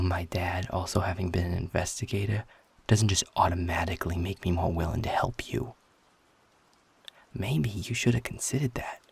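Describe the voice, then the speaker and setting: A man playing a guarded, sarcastic, defiant werewolf in an intimate audio roleplay, talking bluntly with a husky, gravelly voice. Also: low-pitched, exaggerated voice. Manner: sardonic, blunt, swearing, confrontational.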